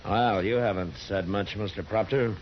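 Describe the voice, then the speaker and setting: An American actor playing a stern, steady frontier marshal in a 1950s radio Western, commanding and terse. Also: male voice, fairly low pitch, moderate pace, slight background noise, natural voice. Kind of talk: 1950s radio drama acting. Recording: dull vintage 1950s radio recording.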